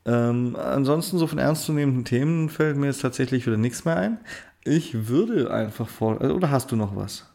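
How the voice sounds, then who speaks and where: A man's voice: medium pitch, expressive, normal speed, gravelly, dark tone. A German-speaking man co-hosting a casual gaming podcast, joking and teasing while telling anecdotes. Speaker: podcast co-host.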